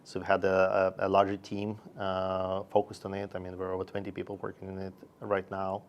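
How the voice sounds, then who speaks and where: A man from Russia and Sweden, a founder talking about his career in halting, measured phrases, as in an interview. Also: low-pitched, completely flat delivery, highs cut off, slight background noise. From born in Russia, raised in Sweden. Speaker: company founder and investor.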